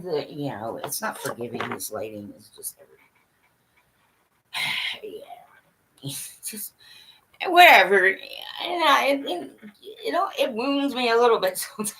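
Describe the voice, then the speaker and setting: Husky rambling woman with a lively voice. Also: medium pitch, low-quality recording. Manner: scattered, blunt, swears, laughs often; addresses the audience directly, asks for agreement. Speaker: livestream host. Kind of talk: rambling monologue to viewers.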